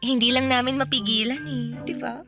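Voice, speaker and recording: A woman's voice: sits high, steady tone, fairly quick, vocal fry. An actress with a Filipino accent playing a teasing but polite young girlfriend in a radio drama. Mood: coy, bashful. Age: young adult. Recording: music under the dialogue.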